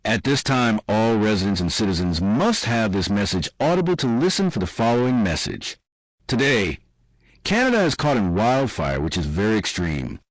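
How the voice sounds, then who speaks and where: A husky-voiced man reading scripted emergency alert announcements. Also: lowish voice, big pitch swings, normal speed, dry recording, poor audio.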